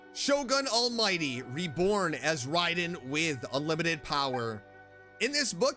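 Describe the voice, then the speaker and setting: A man streaming a game, reading story text aloud in an expressive way and reacting to it. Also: fairly high pitch. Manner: expressive, animated.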